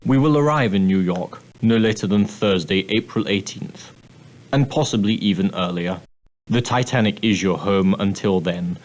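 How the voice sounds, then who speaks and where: A prim and proper male steward of an ocean liner, acted by a man, speaks in a fairly low voice. He is formal and clipped, with a dry, condescending edge beneath the courtesy. It is scripted character dialogue for an adventure game. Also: normal speed, close to an everyday voice, rough recording. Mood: formal, courteous. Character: prim, straight-laced male ship's steward, dryly sardonic.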